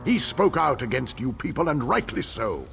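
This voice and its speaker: A scornful, grieving elf in a fantasy video game. His voice is creaky and hugely animated, swooping as he sneers. He sounds haughty, theatrical and bitterly outraged, with faint music behind him. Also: medium pitch, normal speed. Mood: venomous disdain.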